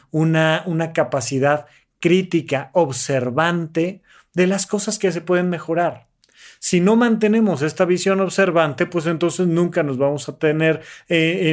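A man's voice: on the high side, darkish tone. A man with a Mexican accent giving an earnest, emphatic motivational talk as a life coach. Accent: Mexican Spanish. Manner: earnest, emphatic, mimics the people he critiques.

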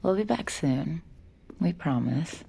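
Low, creaky, weary sardonic woman. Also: expressive, slow pace, warm tone, heavily acted. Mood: tired, uneasy. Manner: dry, sardonic, tired. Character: weary, sardonic woman bartender narrating her own troubles. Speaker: narrator and lead character. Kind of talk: audio fiction drama with first-person narration.

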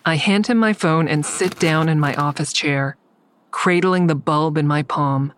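A woman's voice: low-pitched, unhurried, a little hiss or hum. An actress playing a guarded, defiant woman in an audio drama, narrating and speaking in a terse, dry, confiding way. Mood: tense, confiding. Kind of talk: first-person audio drama narration and dialogue. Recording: faint music under the voice.

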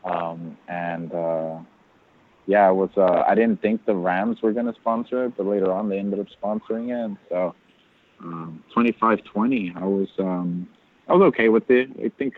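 A man's voice: sits low, normal speed. A man chatting casually as a podcast guest, telling self-deprecating stories. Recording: tinny phone-like line.

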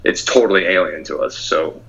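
Man, bright forceful delivery, measured with fillers, dull lo-fi recording. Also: low-pitched, husky. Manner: measured, many fillers and restarts. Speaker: guest, former soldier. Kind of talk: interview.

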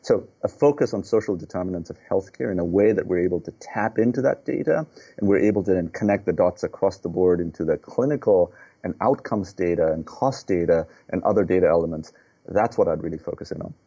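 A deep-voiced man from Nepal talks through his answers earnestly, stopping on fillers and repeated words. His voice is dark and husky. The sound is dull and lo-fi, like a remote line. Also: a little fast. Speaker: guest, healthcare executive. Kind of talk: podcast interview answers.

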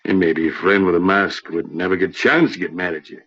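An actor playing a scheming, menacing Western gang boss with bullying, folksy cowboy talk in old radio acting style. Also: male voice, low-pitched, fairly even intonation, fairly quick, a bit bright, breathy edge. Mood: coaxing. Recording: dull old-time radio recording.

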